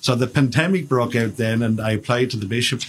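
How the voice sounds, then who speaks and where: Irish priest guy, 50s, chatty, lots of stops and restarts, faint hiss behind. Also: medium pitch. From Ireland. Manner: chatty, halting with many restarts. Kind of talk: radio interview guest.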